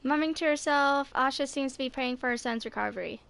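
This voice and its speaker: A woman's voice: sits high, fairly quick, creaky, background noise. A woman in her twenties giving casual playthrough commentary on a video game, sometimes reading text aloud. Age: 20s. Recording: game music behind the voice.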